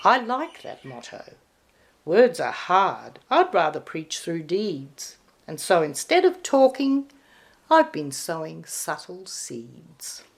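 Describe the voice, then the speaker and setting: Woman voicing a chummy, animated Easter Bunny. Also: lowish voice, slow pace, vocal fry, full character voice. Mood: sheepish, explaining. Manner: chummy, casual. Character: cheerful, sheepish male Easter Bunny, friendly and evasive.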